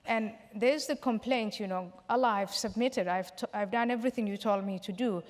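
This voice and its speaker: A woman from Somalia, a guest in a public question-and-answer session, explaining her points in a measured way with some restarts. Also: medium pitch. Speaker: panel guest. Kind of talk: public Q&A discussion. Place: large hall. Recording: reverberant hall.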